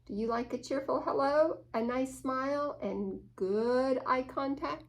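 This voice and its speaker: A woman's voice: high-pitched, measured pace, rough recording. A woman reading a picture book interactively to young children, asking questions and adding little playful asides. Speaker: children's story time reader.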